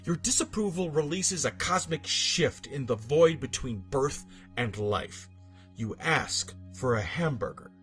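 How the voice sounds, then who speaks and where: Male narrator, theatrical scripted storytelling, emphatic delivery, lively varied pitch, background music. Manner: theatrical, emphatic delivery with dramatic drops and outbursts. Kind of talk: dramatic scripted narration of absurdist horror fiction. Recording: music bed behind narration.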